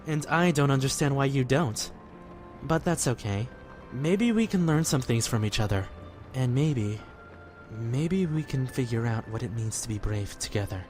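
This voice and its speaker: A man's voice: medium pitch, lively intonation, normal speed, darkish tone, natural voice. A young man playing a kind, idealistic youth in an audio drama, speaking earnestly and reassuringly. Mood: warm, reassuring. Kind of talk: fan audio drama acting. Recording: music bed behind the voice.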